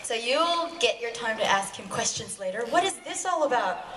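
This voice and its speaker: A woman's voice: on the high side, animated, moderate pace, fairly bright tone. A woman hosting a live panel, interviewing in a casual, playful way. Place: large indoor convention hall, on stage. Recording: echoey hall, distant mic, crowd, noise.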